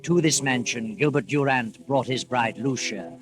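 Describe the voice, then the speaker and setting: A man narrating as host of a 1940s radio thriller, in a measured, ominous, theatrical manner. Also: medium pitch. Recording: old 1940s radio recording. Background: dramatic music.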